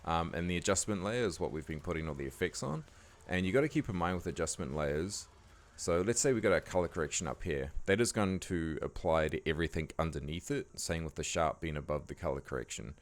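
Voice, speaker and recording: A man with a croaky voice giving a laid-back, casual editing tutorial, talking loosely with lots of fillers. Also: deep voice, lively intonation, fairly quick, few pauses. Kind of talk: casual screen-recording tutorial voice-over.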